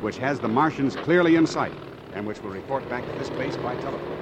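Actor as military officer, very noisy recording. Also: male voice, medium pitch, lively intonation, fairly quick, natural voice. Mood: businesslike. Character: military officer giving a situation briefing. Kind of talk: 1950s radio drama acting. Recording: very noisy 1950s radio broadcast.

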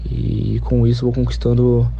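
A man's voice: medium pitch, monotone, moderate pace, vocal fry. A man of twenty-eight, a football striker giving hesitant interview answers and repeating words as he thinks. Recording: muffled lo-fi recording with faint noise.

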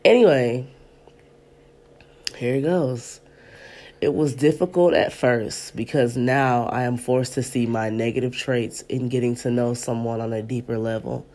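A woman's voice: rumbling low, steady tone, a little slow, dark tone. Woman in her thirties, podcast host, stuffy congested voice, chatty and loose, recording at home. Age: 30s. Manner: chatty and loose, addresses listeners as y'all. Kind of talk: casual podcast chat and reading aloud. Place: at home in an apartment.

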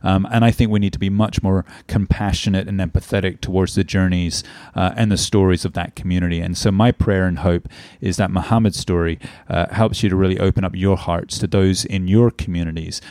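A middle-aged man hosting a podcast, speaking earnestly and filling pauses with "um" and "uh". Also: sits low, steady tone, darkish tone, no echo. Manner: earnest, heavy with "um" and "uh". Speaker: podcast host. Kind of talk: podcast intro and interview.

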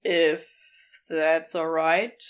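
Woman doing a low, laid-back, cheeky man. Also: fairly even intonation, extremely slow. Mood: hopeful. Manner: casual, drawling, easygoing.

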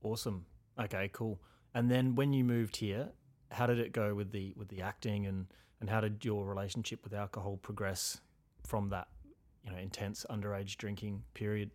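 A low-voiced man hosting a podcast conversation. He sums up what his guest has said in a calm, gentle way, then moves on to a follow-up question. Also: moderate pace. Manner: gentle, recaps before asking follow-ups. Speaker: podcast host. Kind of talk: interviewing.

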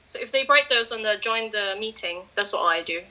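A woman's voice: medium pitch, a little fast, bright, forceful tone. A woman guest in a casual group chat, talking in a breezy, joking way. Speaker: podcast guest.

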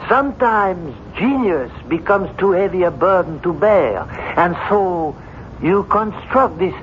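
An actor playing a stern, scornful, pushy doctor in a radio drama, lecturing with emphatic declamation. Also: male voice, high voice, animated, unhurried. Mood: pressing, lecturing. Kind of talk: 1970s radio drama acting. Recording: muffled, noisy 1970s radio recording.

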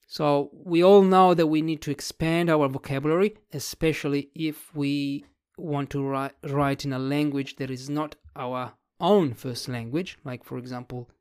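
Writing vlogger, mid pitch, dry close sound, musing and word-searching. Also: steady tone, a little slow, few pauses, darkish tone, clean tone, no echo. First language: Italian. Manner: thinks aloud, searching for words. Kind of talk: casual explanatory talk about a book.

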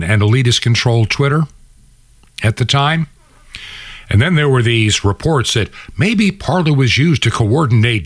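A man's voice: mid-range voice, fairly bright tone, dry recording, studio quality. A man hosting a radio show, delivering an opinionated political monologue in an emphatic, conversational way with rhetorical asides. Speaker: radio and podcast host.

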